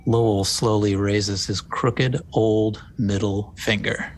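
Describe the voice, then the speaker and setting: A man's voice: fairly even intonation, slow. A man narrating a screenplay, reading scripted stage directions. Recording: faint low rumbling noise.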